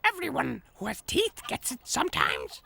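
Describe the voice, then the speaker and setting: A man's voice: very high voice, huge pitch swings, normal speed, vocal fry, sharp tone, breathy edge, pitched above the performer's own voice, full character voice. A man voicing a bossy, cackling hen in a children's farm story.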